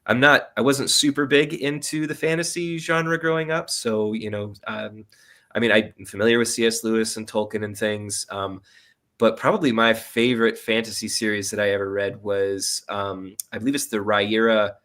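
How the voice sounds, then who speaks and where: Male interview guest. Crisp bright tone, lowish pitch, informal, thinks out loud. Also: fairly even intonation, normal speed. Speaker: guest writer and sound designer. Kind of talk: casual interview chat.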